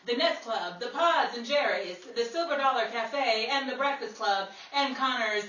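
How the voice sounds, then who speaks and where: A woman's voice: on the high side, lively intonation, moderate pace, pushed and bright. A woman playing a sassy, theatrical newswoman in a 1930s New York radio comedy, recorded in a roomy space off the mic. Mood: grand, narrating. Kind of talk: 1930s radio comedy acting and scripted narration. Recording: roomy, distant mic with background hiss.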